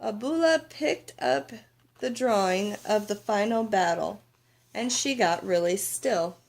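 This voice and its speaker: A woman reads a children's story aloud with expression. Her pitch is medium and her pace is slow and deliberate. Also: animated, vocal fry. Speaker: storybook reader.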